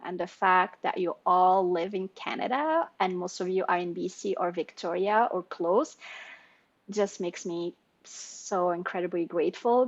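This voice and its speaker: A woman in her forties, originally from Morocco, introduces herself on a video call in an effusive, animated way, laughing between phrases. Her voice sits at an everyday middle pitch. The sound is dry and slightly dull, like typical call audio. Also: no room sound. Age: 40s. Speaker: business owner and women's leadership coach. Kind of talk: personal introduction in an online circle.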